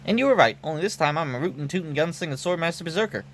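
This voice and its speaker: A man's voice: fairly high pitch, lively intonation, rapid-fire, a bit bright, a little hiss or hum. A man voicing a legendary female swordmaster in game dialogue, speaking in a frank, earnest, folksy way. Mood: proud, playful. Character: legendary swordswoman, cheerful, frank, encouraging gunslinger. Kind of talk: game dialogue read aloud. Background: background music.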